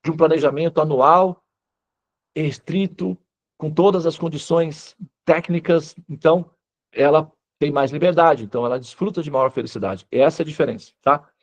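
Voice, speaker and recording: Higher-pitched Brazilian man giving an informal lecture. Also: normal speed, lo-fi sound. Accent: Brazilian Portuguese. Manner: explanatory, frequent tag questions to listeners. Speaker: spiritual teacher.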